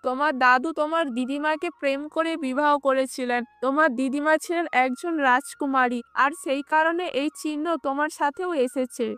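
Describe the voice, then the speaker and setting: A woman's voice: high voice, fairly even intonation, fairly quick, few pauses, a bit bright, no echo. A woman voicing a worried, protective village mother in a fairy-tale cartoon. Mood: explaining.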